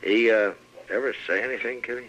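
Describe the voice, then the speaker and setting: An actor playing a calm, firm frontier marshal with dry humor in an old radio western. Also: male voice, mid-range voice, fairly quick, close to an everyday voice. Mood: easygoing. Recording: dull, faintly hissy old radio recording.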